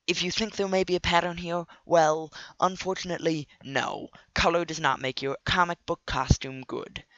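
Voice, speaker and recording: A man with a cold and a nasal voice, hosting a scripted comic commentary video with deadpan, sarcastic asides. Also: fairly high pitch, normal speed, no echo, highs cut off. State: sick with a cold. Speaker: video host.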